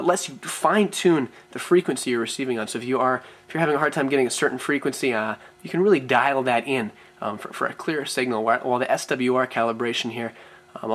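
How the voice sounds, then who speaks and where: A man with a mid-pitched voice walks through a product as a reviewer. He speaks off the cuff in a hesitant way, filling gaps with ums and restarting words as he explains. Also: a bit bright. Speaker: product reviewer, radio shop presenter. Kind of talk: unscripted product walkthrough.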